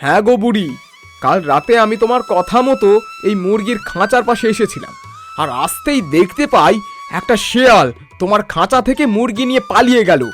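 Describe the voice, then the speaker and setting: A performer voicing a helpful, concerned village man in a children's cartoon, earnest and expressive in a familiar way. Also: medium pitch, fairly quick, faint music behind. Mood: agitated. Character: helpful, concerned village neighbour named Ratan, male. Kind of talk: Bengali children's cartoon dialogue.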